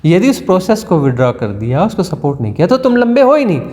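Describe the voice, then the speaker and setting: Male spiritual teacher, higher voice, mellow tone, repetitive discourse in a reverberant hall. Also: sing-song, fast. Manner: repetitive, mimics inner self-talk, rhetorical questions. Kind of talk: satsang discourse.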